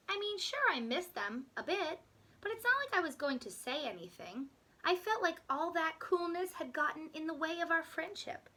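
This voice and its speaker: Slow, high-voiced woman reading a storybook. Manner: expressive, deliberate storytelling with dramatic pauses. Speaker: teacher reading aloud. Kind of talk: children's picture book read-aloud.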